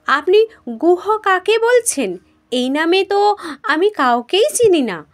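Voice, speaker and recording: A woman's voice: extremely high pitch, sing-song, slow pace, heavily acted. A woman storyteller voicing an earnest, questioning male fire god in a mythological tale. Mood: puzzled. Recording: music behind the voice.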